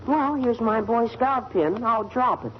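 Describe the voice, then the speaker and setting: An actor playing a wisecracking, drily sarcastic young son in a 1940s radio family comedy. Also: male voice, very high-pitched, a little slow. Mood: deadpan, wry. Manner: dry deadpan wisecracking. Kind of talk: 1940s radio sitcom acting. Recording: muffled, very noisy old radio recording.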